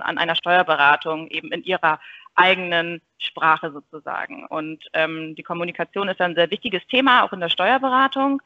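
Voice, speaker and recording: A woman's voice: lowish voice, sharp tone, dry recording, lo-fi sound. A woman in her thirties, a bilingual native signer, answering podcast interview questions with frequent hesitations. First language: German Sign Language. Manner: hesitant, many filler sounds. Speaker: tax advisor guest.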